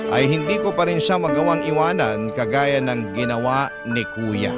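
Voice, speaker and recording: A man narrates a letter for a radio story programme in an emotive, plaintive way. His delivery is scripted and dramatic, with loud instrumental music running underneath. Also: mid-range voice. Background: prominent background music.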